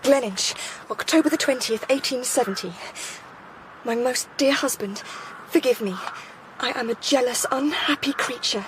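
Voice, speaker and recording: An actress with a British accent playing an earnest, determined young Victorian wife, intense and probing, in a radio drama. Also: female voice, fairly high pitch, normal speed, noisy background, natural voice. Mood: reading, tense.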